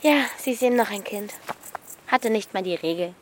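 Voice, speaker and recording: A woman's voice: mid-range voice, lively intonation, moderate pace, slight background noise, natural voice. A woman playing a bossy, brash thirteen-year-old girl in a radio play, speaking in a blunt, commanding and taunting way. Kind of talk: naturalistic radio play acting.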